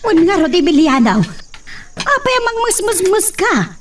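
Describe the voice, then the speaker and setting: A woman's voice: very high-pitched, very animated, normal speed. A woman playing a nervous, fretful middle-aged peasant wife and mother in a radio drama, with an Ilocano accent. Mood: fretful, alarmed. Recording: distant, faintly noisy recording.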